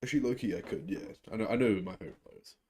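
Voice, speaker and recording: Laid-back Kiwi guy, lowish voice. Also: racing, dark tone, slightly rough. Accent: New Zealand. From New Zealand. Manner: laid-back, chatty, restarts often. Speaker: live stream host. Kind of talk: casual live reaction commentary.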